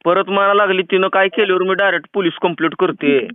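A man's voice: high-pitched, fast talker, smooth, no echo. A man with a rural Maharashtrian Marathi accent chats casually and insistently as a teasing prank caller, in colloquial speech over a tinny phone-like recording. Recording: tinny, phone-like band-limited sound.

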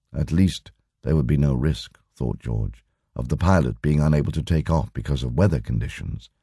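A man narrating a short story as an audiobook in a steady, measured way. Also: extremely low pitch, husky, no room sound, clean studio sound. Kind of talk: scripted narration.

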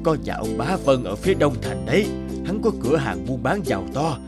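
Man as a shrewd cartoon king, animated delivery. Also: medium pitch, fairly quick, loud music behind the voice, lightly acted. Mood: humble, probing. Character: shrewd king disguised as poor scholar, authoritative.

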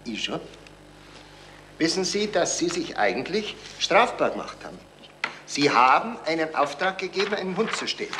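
A man's voice: a little high, sing-song. An actor playing a shrewd, dry policeman who needles people, in a radio crime drama with a Bavarian accent. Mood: dry, needling. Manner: dry, unhurried, wry. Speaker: police officer. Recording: noisy 1970s radio recording.

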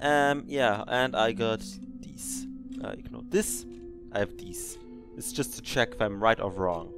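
A man's voice: fairly low pitch, unhurried, music in the background. A man in his twenties hosting a casual podcast, rambling and correcting himself as he reacts to an old recording of himself. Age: 20s. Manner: rambling, self-correcting, teasing his past self. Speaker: podcast host.

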